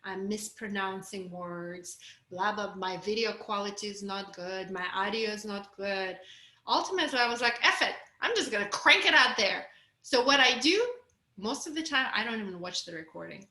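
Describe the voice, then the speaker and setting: A woman's voice: mid-range voice, moderate pace, bright, forceful tone, reverberant. A woman with a Russian accent coaching a group on a video call, candid and encouraging. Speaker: course coach.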